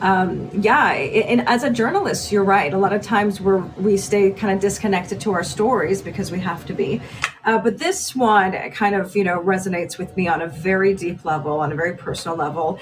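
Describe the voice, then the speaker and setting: A woman's voice: medium pitch, moderate pace, far from the mic, background noise. A woman in her thirties, born in Iran, speaking earnestly and with strong feeling as a podcast guest in a studio. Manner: earnest, emotional, gestures as she talks. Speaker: TV news anchor, guest. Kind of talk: candid podcast conversation. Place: podcast studio with decorated shelves. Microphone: large studio mic on boom.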